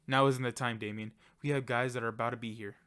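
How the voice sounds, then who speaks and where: A man's voice: medium pitch, fairly even intonation, quick pace, fairly bright tone, clean tone, dry recording, studio quality, lightly acted. A man playing a loyal young friend in an audio drama, speaking in a casual, earnest way. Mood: tense, casual. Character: Ed, loyal young friend and teammate, calming but scared. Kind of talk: amateur audio fiction acting.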